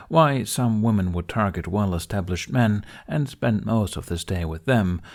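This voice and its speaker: A man's voice: deep voice, a little mellow. A man narrating a fantasy audiobook, reading scripted storytelling prose.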